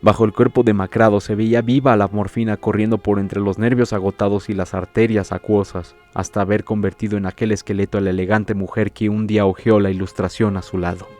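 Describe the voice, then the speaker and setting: A man narrating a literary story in a measured, scripted audiobook reading. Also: lowish voice, little pitch movement, darkish tone, faint music behind.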